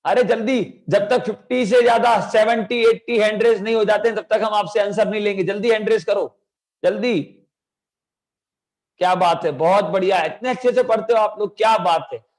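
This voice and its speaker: A man's voice: high-pitched, normal speed. A young man with a sore throat teaching an online children's class, coaxing the kids with lots of energy. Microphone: video call.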